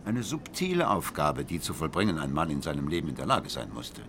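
An actor playing a brooding, obsessive husband who narrates a radio drama with cold, deliberate, intense precision. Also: male voice, very deep voice, very animated, vocal fry, noisy background, full character voice. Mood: brooding, controlled. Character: brooding, obsessive husband coldly recounting his grim plan. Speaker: first-person narrator of an audio drama. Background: music.

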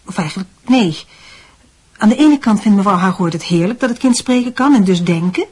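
An actress playing a doting, evasive middle-aged mother in a Dutch radio drama, explaining things gently and sidestepping. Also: medium pitch, animated, a little fast, breathy edge. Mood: evasive, gentle.

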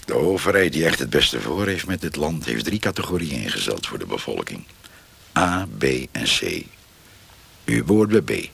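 Very deep, creaky sanctimonious priest. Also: male voice, very animated, moderate pace, fairly bright tone, full character voice. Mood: preachy. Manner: unctuous, preachy, falsely soothing. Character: sanctimonious pious lay priest figure visiting a tortured prisoner. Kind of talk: radio play acting.

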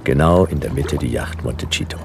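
A man's voice: extremely low pitch, fairly quick, creaky, over music. A man playing a laconic hard-boiled detective, narrating a noir radio drama in terse, dry sentences. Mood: dry, suspenseful.

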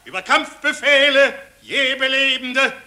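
A man's voice: very high voice, unhurried, a loud crowd. A man playing a fanatical war poet, declaiming bombastic verse ecstatically in an old, noisy 1940s radio play recording. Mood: fanatical, exalted.

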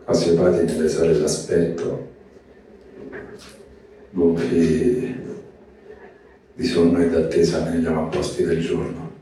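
Man, literary reading, flat intonation, dark soft tone, distant echoing recording with faint noise. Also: low-pitched, slow pace, pauses often. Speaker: reader of poetic prose.

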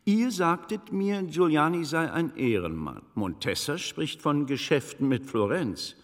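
An actor in a German radio drama playing a shrewd, sardonic older magister with dry, commanding, biting delivery. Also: male voice, a little high, lively intonation, unhurried. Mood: indignant, cutting. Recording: long reverberant hall.

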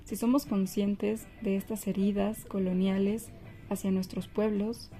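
A woman reading a measured, solemn spoken-word manifesto over faint music. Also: medium pitch, level tone, dark tone.

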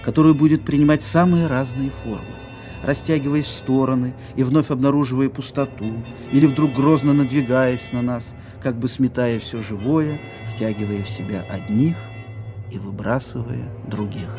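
A man's voice: medium pitch, steady tone, natural voice. Actor as solemn male narrator over music. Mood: solemn, atmospheric. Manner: deliberate, dramatic pauses. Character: solemn atmospheric stage narrator. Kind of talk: theatrical scripted narration.

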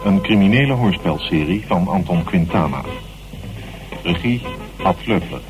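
Radio serial announcer, deep voice, title reading, prominent music. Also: male voice, lively intonation, normal speed, highs cut off. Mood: announcing. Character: male announcer of a crime serial.

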